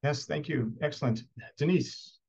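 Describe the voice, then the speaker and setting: Man host, kinda high voice, short polite turns, muffled call audio. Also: unhurried, gravelly, darkish tone, slightly rough. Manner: brief, polite, calling names. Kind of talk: moderating introductions on a video call. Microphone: video call.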